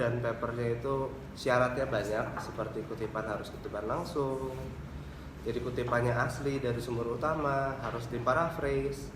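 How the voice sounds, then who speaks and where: A man from Indonesia answering interview questions as a university lecturer, explaining things point by point. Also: medium pitch. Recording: echoey room with clear background noise.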